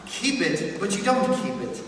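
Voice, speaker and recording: Guy playing cheery heartfelt nephew, highish voice, big echoey hall, sounds far from the mic. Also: lively intonation, normal speed, a bit bright. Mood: earnest. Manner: earnest, declamatory, heartfelt. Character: cheerful, warm-hearted nephew devoted to Christmas. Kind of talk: dramatic stage reading. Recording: distant, echoey hall, some hiss.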